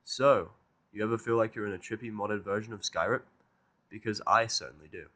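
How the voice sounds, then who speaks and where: A man's voice: fairly low pitch, a little fast, sharp tone. A man playing a sarcastic, irritable human with a dry, stubborn manner and plenty of swearing, in a fan fiction audio drama.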